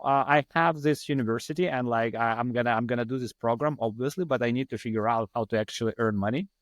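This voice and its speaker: A man's voice: mid-range voice, dry recording. A man in his thirties from Ukraine with a Ukrainian accent, a podcast guest telling his story in a rambling, self-deprecating way. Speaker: podcast guest, startup founder. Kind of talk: casual storytelling in an interview.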